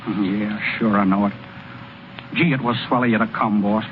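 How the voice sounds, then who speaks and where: A man playing a naive, folksy American baseball pitcher who stammers in ungrammatical speech, in old-time radio drama. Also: steady tone, moderate pace, narrow, tinny audio, natural voice. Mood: dejected, tender. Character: naive, boastful-turned-dejected hayseed male baseball pitcher. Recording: thin, noisy vintage radio broadcast recording.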